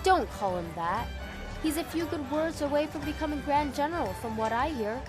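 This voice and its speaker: A woman playing a proud young warrior general in an audio drama, commanding and quick to fluster, with music behind her. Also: high voice, animated. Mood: earnest. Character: proud young female general, warrior hero, hurt sister. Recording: background music under the voice.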